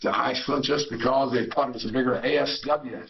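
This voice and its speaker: An older man, a Navy veteran, reminiscing in an interview, halting with many fillers and restarts. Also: medium pitch, fairly even intonation, highs cut off, a little hiss or hum.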